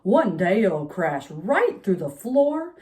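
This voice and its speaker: A woman's voice: medium pitch, very animated, slow, darkish tone. A woman voicing a stern, fed-up father scolding at bedtime in a read-aloud storybook. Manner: firm, admonishing.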